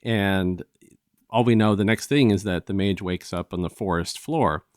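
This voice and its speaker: A man with a fairly low voice hosts a casual review discussion. He retells the story conversationally, stopping and restarting, and slips into snatches of dialogue. The sound is dry, with little room around him. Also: moderate pace, clear, resonant tone, dry recording. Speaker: host of a review show.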